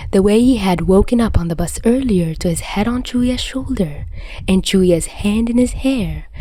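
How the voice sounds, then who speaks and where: A woman narrating fan fiction as an audio reader, in an expressive storytelling style. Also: mid-range voice, poor audio. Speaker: podfic narrator.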